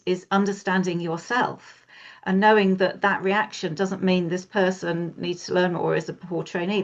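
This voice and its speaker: Low, gravelly-voiced woman interviewer. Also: highs cut off. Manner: affirming, with many short back-channel replies. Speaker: interviewer, coach. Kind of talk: conversational interview.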